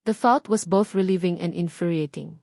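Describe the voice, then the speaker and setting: A woman's voice: fairly low pitch, fairly quick, vocal fry, dark tone, no echo. Dry-sounding Filipino woman narrating romance. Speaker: audiobook narrator. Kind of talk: dramatic scripted romance narration.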